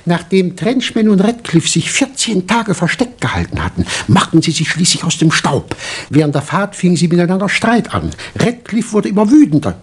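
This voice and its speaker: A man playing a self-assured doctor turned amateur detective in a 1960s German radio crime play, explaining insistently and dramatically in a husky voice. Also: high voice, sing-song, normal speed, heavily acted. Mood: insistent, confident.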